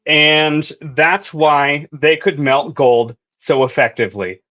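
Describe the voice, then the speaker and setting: A woman chatting as a panel guest about fan theories in a playful, theatrical storytelling way with dramatic pauses. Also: deep voice, fairly bright tone, dull audio. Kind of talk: casual fan theory discussion.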